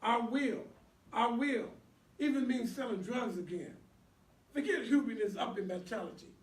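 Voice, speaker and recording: A man's voice: very high-pitched, normal speed. A man acting a guilt-ridden younger brother and troubled father in a stage play skit, earnest and confessional. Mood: defiant. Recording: echoey room, distant mic.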